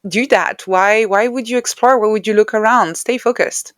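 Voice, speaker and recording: A woman's voice: medium pitch, normal speed, dry recording, studio quality. A woman speaking English as a second language, answering reflectively as a guest in an interview conversation. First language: not English. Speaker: podcast guest, writer and neuroscientist.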